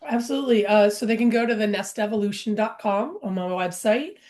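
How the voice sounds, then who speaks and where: Woman guest, casual and upbeat storyteller, middle-pitched voice. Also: brisk, darkish tone. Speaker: podcast guest. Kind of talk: casual podcast conversation.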